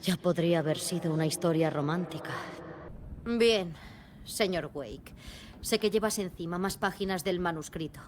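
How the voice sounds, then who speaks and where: A woman acting a low-voiced, focused investigator. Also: a little mellow, natural voice. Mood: firm. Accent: Spanish (Spain). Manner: measured, serious, probing. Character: focused, skeptical female investigator piecing together a case. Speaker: video game voice actor. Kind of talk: dramatic video game dubbing.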